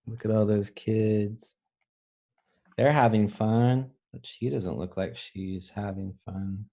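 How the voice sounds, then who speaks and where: A man reading a children's picture book aloud as a teacher, pausing to think aloud and explain things simply. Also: fairly low pitch, fairly even intonation, dark tone, lo-fi sound. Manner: pauses to pose questions and think aloud.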